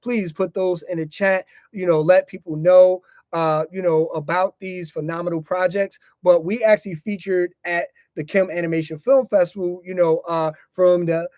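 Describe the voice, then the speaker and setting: An American man with a slightly high voice hosts a casual podcast. He speaks informally, circling back over his phrases and dropping in "you know" often. The sound is dry and close. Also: fairly even intonation, moderate pace, few pauses, darkish tone, no room sound, lo-fi sound. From United States. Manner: informal, frequently repeats himself and tags phrases with "you know". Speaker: podcast host.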